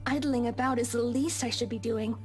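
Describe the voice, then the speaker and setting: Meek high-voiced young maid, acted by a woman. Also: steady tone, a little fast, faint music behind, close to an everyday voice. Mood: meek, wistful. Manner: formal, old-fashioned, hesitant. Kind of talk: visual novel game voice acting.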